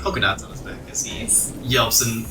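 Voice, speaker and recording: A man working as game master, narrating a tabletop game off the cuff in a halting, improvised way. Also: medium pitch, steady tone, recorded from a distance, noisy background. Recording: echoey room, off-mic, music underneath. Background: music.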